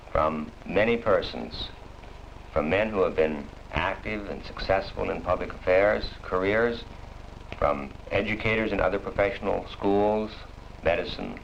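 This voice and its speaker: A man giving a formal prepared address as a university program director, in deliberate phrases and long sentences. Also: low-pitched, vocal fry. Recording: muffled, noisy 1961 film soundtrack.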